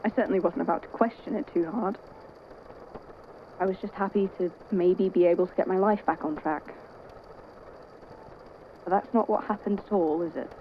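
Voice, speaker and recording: Low-voiced, worried friend played by a woman, muffled audio. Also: steady tone, normal speed, long pauses, a little hiss or hum, close to an everyday voice. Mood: wistful, confessional. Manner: hesitant, conversational, gently wry. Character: worried, weary, caring friend at a hospital bedside. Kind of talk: naturalistic audio drama acting.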